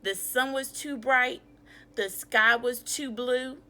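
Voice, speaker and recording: Female teacher for children's read-aloud lesson, higher-pitched and bright, expressive, guiding pupils as she goes. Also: slow. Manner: expressive, instructive, asks questions of pupils.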